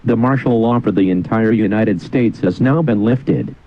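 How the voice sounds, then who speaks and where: A dull, low-fidelity broadcast carries a man's fairly low voice. He reads a scripted emergency alert as an official announcer, formal and measured, with authoritative wording. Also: dry recording.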